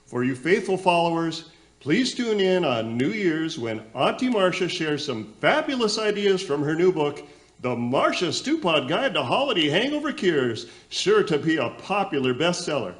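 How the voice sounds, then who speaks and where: A man acts out a flustered radio show host in a comic radio play. His voice sits a little high for a man. He talks in a folksy, hesitant way, trying to gloss over trouble. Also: very animated, normal speed, a bit bright. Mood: forced cheer.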